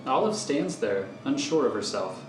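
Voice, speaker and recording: A man's voice: mid-range voice, big pitch swings, normal speed. Echoey male narrator over guitar music. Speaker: narrator reading stage directions. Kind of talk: scripted screenplay narration for an audio thriller. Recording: roomy echo with music underneath.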